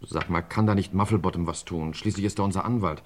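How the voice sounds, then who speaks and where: A male actor as a glib English gentleman nephew in an old crime radio play. He has a low voice and a breezy, pompous, evasive way of talking. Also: steady tone, fast, natural voice. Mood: ingratiating. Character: glib, self-satisfied upper-class English nephew. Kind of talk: vintage German crime radio play acting.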